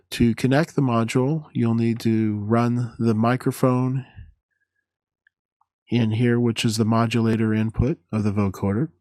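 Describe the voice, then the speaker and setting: A man presenting an instructional software demo in a halting, methodical, step-by-step way. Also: on the low side, dry recording, clean studio sound.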